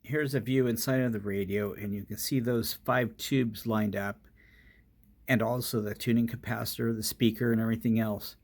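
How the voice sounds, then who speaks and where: A man's voice: lowish voice, fairly even intonation, normal speed, gravelly. A man narrating a casual hands-on electronics demonstration as its host.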